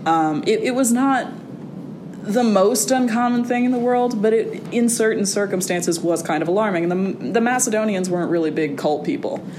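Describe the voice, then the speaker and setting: A young woman, a college student, talking casually as an interview guest in a wry, animated way, with fillers and restarts. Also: normal speed. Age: young adult. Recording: audible background noise with a low rumble.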